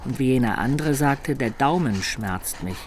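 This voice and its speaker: A man's voice: medium pitch, animated, normal speed, slight background noise, close to an everyday voice. An actor playing a roguish man of peasant birth, narrating his life colloquially and reflectively in a radio play.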